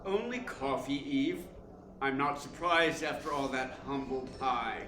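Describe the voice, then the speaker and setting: A man's voice: mid-range voice, unhurried, natural voice. Man playing suave cynical critic, echoey recording. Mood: dry, menacing. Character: suave cynical theater critic, dry and controlling. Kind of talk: stage-style radio play acting and narration. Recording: echoey room, clear background noise.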